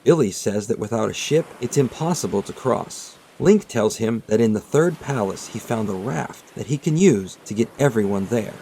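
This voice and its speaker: A man reading scripted, storybook-style narration in a measured, dramatic storytelling manner. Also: fairly low pitch, expressive, dark tone, slight background noise. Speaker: narrator of a manga retelling video. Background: background music.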